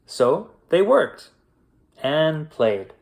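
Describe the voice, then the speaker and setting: Slow-paced man reading a bedtime story. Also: fairly high pitch, big pitch swings, creaky, a little mellow. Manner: unhurried, with deliberate dramatic pauses. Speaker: storyteller reading a children's picture book. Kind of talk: expressive bedtime story narration.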